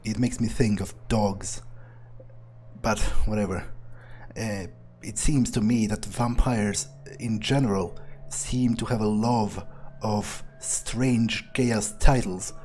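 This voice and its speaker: A man playing a cynical, foul-mouthed vampire in an improvised game roleplay, husky and halting, muttering scornfully. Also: on the low side, steady tone, a little mellow, soft background music. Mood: brooding, dismissive. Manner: halting, muttering, profane, sardonic.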